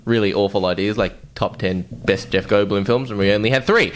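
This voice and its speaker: Low-voiced man chatting on a podcast. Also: animated, moderate pace, a little hiss or hum. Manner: informal, sweary banter. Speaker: podcast host. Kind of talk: casual chat.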